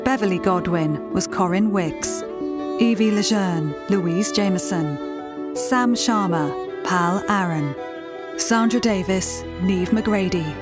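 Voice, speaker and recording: A woman reading scripted closing credits as a radio drama announcer. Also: mid-range voice. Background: music.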